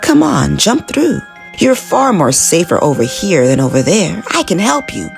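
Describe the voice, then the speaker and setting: A woman's voice: fairly low pitch, sing-song, moderate pace, vocal fry, faint music behind. A woman voicing a sly male fox who coaxes in a wheedling way. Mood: coaxing. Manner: coaxing, wheedling.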